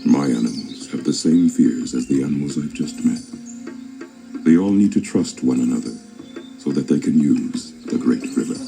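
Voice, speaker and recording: An actor playing a wise, kindly lion king in a children's read-along story, speaking in a measured, commanding manner. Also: a bass voice, gravelly, over music. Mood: thoughtful. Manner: regal, measured, authoritative.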